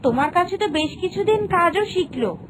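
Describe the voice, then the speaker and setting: A woman's voice: extremely high pitch, expressive, moderate pace, noisy background, pitched above the performer's own voice. A woman voicing an eager young village girl in a cartoon, gushing and familiar as she chats. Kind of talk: Bengali cartoon voice acting.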